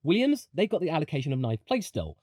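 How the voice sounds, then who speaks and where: Male sports analyst, lively swooping intonation, slightly high pitch, dry close sound, conversational and opinionated. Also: fast, breathy edge, dry recording. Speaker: video commentator. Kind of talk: opinionated motorsport analysis commentary.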